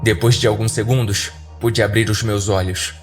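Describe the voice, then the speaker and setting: A Brazilian man tells a scripted horror story in the first person. His voice sits on the low side and his delivery is dramatic and deliberate, with music playing clearly underneath. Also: steady tone. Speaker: horror podcast narrator. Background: background music.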